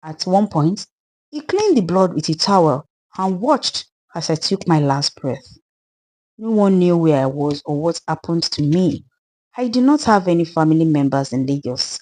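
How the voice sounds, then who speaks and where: A woman's voice: low voice, animated, moderate pace, a little mellow, poor audio. A woman voicing the sorrowful, wronged ghost of a murdered widow in a dramatised folktale, plaintive and earnest, with a Nigerian accent.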